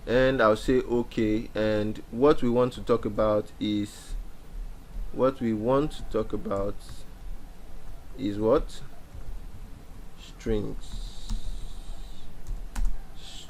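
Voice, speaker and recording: A man's voice: fairly low pitch, fairly even intonation, drawn-out words, long pauses, a little mellow, a little hiss or hum, low-quality recording. A young man teaching a beginner programming lesson over a screen share, explaining step by step with frequent fillers and repeated phrases. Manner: explanatory, repeats key points with many fillers. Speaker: programming instructor. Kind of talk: screen-share coding tutorial.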